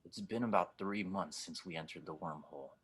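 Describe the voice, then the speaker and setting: Man acting a blunt, low-voiced starship pilot. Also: a little fast, breathy edge. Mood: grave, blunt. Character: gruff, steady older male starship pilot, protective of his companion. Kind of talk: audio drama table read.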